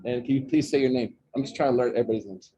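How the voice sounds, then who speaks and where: A chatty man from Oklahoma with a slight Oklahoma accent, leading a relaxed session with students. His voice is mellow and a little husky, and he asks the room questions and makes jokes. Mid pitch and an easy, loose delivery. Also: racing. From Oklahoma, USA. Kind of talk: interactive talk with students. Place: campus center room with a student group.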